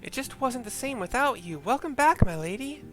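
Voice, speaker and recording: A man voicing an earnest, warm-hearted young woman from a fantasy game, with a heartfelt lilt. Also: very high voice, expressive, normal speed, pitched above the performer's own voice. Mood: joyful welcome. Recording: music behind the voice.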